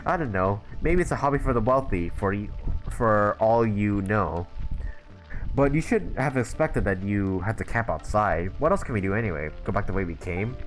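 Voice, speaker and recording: A man's voice: on the low side, expressive, normal speed, soft background music, close to an everyday voice. A man voicing a free-spirited young adventurer girl in a video game, teasing and encouraging in an easygoing way.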